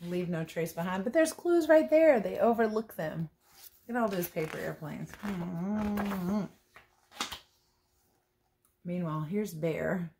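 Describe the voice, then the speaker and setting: A woman reading a picture book aloud as a teacher would, expressive and playful, with chatty asides about the pictures. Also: fairly low pitch, takes it slowly, pauses often, a little hiss or hum. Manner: playful, chatty, commenting on the pictures as she goes. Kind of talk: storybook read-aloud with casual asides.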